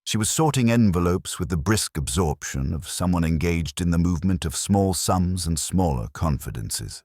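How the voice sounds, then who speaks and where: A man reading a mystery story as a scripted narrator, in a measured, formal way. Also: deep voice, expressive, darkish tone, dry recording, clean studio sound.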